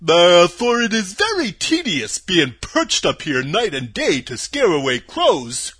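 A man voicing a friendly, mournful, simple-minded scarecrow in a storybook, husky and hesitant, often opening with a drawn-out "uh". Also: high-pitched, huge pitch swings, a bit bright, full character voice. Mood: weary, plaintive. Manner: hesitant, opens phrases with drawn-out "uh".

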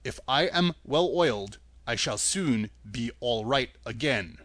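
A man doing a sad, slow tin man. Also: animated, fairly bright tone, dry recording. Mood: sad polite. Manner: slow, low and mournful.

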